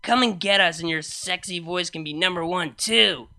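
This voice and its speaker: A man's voice: sits high, normal speed, gravelly, pushed and bright, full character voice. A man voicing a drunk, weepy young mare pony who works as a DJ, slurring and wailing in an overwrought way. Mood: rowdy drunk.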